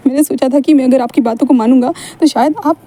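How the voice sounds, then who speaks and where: A woman's voice: sits high, steady tone, quick pace, warm tone. A woman voicing a young boy who stammers and pleads with an older man for his love. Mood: betrayed. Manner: pleading, stammering, repeats himself.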